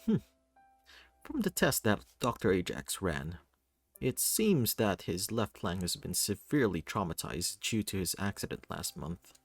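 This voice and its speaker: A man voicing a calm, welcoming male doctor in a visual novel reading. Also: deep voice, a little slow, gravelly, dry recording, faint music behind, exaggerated voice. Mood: calm, professional. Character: friendly, composed male hospital doctor.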